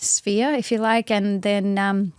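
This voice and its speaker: An Australian woman, an interview guest, speaking thoughtfully in a casual conversation with many pauses and restarts. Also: mid-range voice, flat delivery, darkish tone, smooth, no room sound, studio quality.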